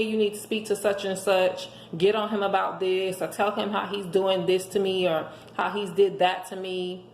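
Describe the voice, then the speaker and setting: A hoarse woman giving an emphatic, preachy motivational monologue, speaking as a host handing out relationship advice to listeners. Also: medium pitch. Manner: emphatic, preachy, rhetorical questions. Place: indoors at home. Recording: echoey room with faint background noise.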